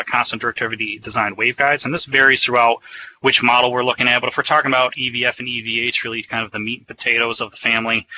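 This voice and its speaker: A man's voice: medium pitch, pushed and bright, slight background noise, low-quality recording. A man giving an explanatory, conversational product presentation in a semi-scripted webinar style.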